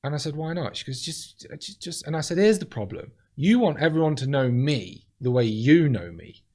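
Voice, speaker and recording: A man talking in casual chat as a comedian guest, deadpan and blunt, swearing freely as he tells stories. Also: a little high, moderate pace.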